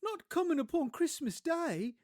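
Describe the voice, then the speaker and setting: Man acting a tender, high-voiced family man. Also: very animated, measured pace, darkish tone, dry recording, studio quality, heavily acted. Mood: dismayed. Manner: tender, earnest. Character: tender, affectionate working-class father, earnest and emotional. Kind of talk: theatrical audiobook character acting.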